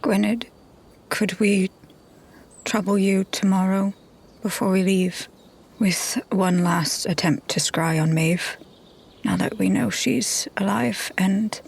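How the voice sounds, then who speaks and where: A woman's voice: medium pitch, slow pace, creaky, long pauses, husky, heavily acted. A woman playing a blunt, loyal fantasy adventurer in a tabletop roleplaying game. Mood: subdued. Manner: direct, firm.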